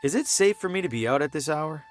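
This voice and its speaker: A man's voice: a little high, expressive, quick pace, slight background noise, lightly acted. A man playing a curious, hesitant traveling salesman in a video game, with a wry way of talking. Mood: inquisitive. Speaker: protagonist character. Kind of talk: video game voice acting, dialogue and musing asides.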